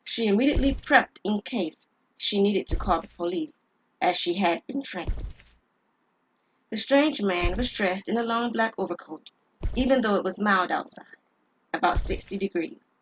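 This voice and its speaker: A woman's voice: mid-range voice, normal speed, a little hiss or hum, poor audio. A woman narrating a scripted dramatic story as the storyteller.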